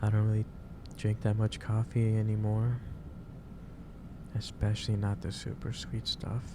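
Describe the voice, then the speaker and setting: A man doing a soft ASMR roleplay as a gentle, caring office boss, speaking hesitantly with pauses mid-sentence as he gives advice. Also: fairly low pitch, completely flat delivery. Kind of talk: soft ASMR roleplay, improvised monologue to the listener. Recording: clearly noisy background ambience.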